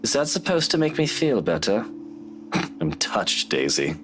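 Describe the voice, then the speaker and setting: A man's voice: fairly low pitch, sing-song, unhurried, music in the background. Cocky man, swooping delivery. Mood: sarcastic. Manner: cocky, dry sarcasm. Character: vain, sardonic man hiding self-loathing behind bravado. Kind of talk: dramatic visual novel voice acting.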